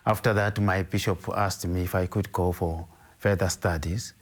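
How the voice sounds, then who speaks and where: An older Zimbabwean man with a very low, deep voice. He speaks slowly and carefully in an interview, often pausing and restarting his sentences as he recalls his past. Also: fairly even intonation. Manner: measured, hesitant, with frequent restarts. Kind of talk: interview, recounting his life.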